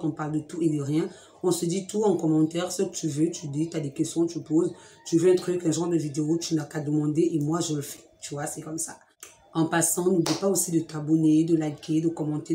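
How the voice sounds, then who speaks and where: A young woman with a cold hosting a chatty beauty vlog, talking straight to her viewers in a lively, teasing way, at home. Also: low-pitched, echoey room, slight background noise. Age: young adult. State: has a cold. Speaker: beauty vlogger. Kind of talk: chatty direct-to-camera vlog. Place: room at home with a fridge and sofa.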